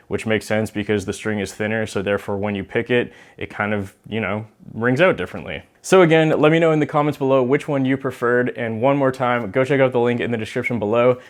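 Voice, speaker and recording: A man hosting a gear comparison video, talking casually and directly to the viewers. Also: fairly low pitch. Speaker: host of a bass gear video series. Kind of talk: casual presenting to camera, product comparison.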